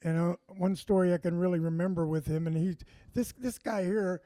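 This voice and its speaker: A man's voice: high voice, brisk, dry recording. A man telling comedy stories in casual chat, acting out what people said. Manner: animated, acts out quotes. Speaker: comedian guest. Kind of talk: casual storytelling.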